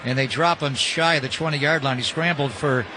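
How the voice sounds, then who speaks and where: A man's voice: mid-range voice, moderate pace, a crowd in the background. An American man calling live football on the radio as play-by-play announcer, in clipped, descriptive calls. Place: stadium broadcast booth. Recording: live radio feed with loud stadium noise.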